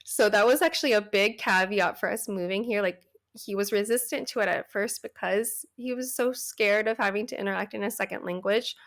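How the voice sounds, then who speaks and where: A woman's voice: medium pitch, fairly quick, fairly bright tone. A woman in her thirties with an American accent, talking casually as an interview guest, chatty with frequent laughs and restarts. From Taiwan, raised in the United States. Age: 30s. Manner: chatty, laughs often, many fillers and restarts.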